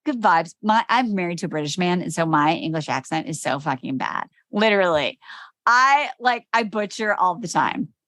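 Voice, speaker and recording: A woman host chats with her guest in an excited, loose way, throwing in the odd swear word. Her voice is mid-pitched for a woman, and the recording is dry and close. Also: moderate pace, no room sound. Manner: bubbly, chatty, swears casually. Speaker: podcast host. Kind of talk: casual interview chat.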